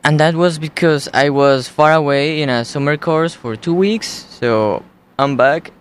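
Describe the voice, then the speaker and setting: A young man with a Colombian accent hosting a college radio show, talking in a slow, halting, casual way. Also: fairly high pitch, a little hiss or hum. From United States. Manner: slow, unhurried, halting delivery.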